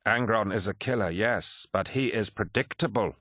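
A man's voice: fairly low pitch, normal speed, no room sound. A smug warrior chaplain with a fanatical streak, performed by a male reader. The audiobook delivery is sly and intense, recorded with a very dry, close sound. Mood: sly, calm. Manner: smug calm that turns fervent. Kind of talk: dramatic audiobook character acting.

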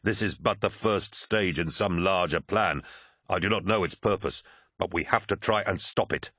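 A man's voice: low voice, moderate pace, a bit bright, breathy edge, no echo. A grave, loyal military captain played by a male narrator, earnest and urgent. The delivery is that of a serious sci-fi audiobook, recorded close and dry.

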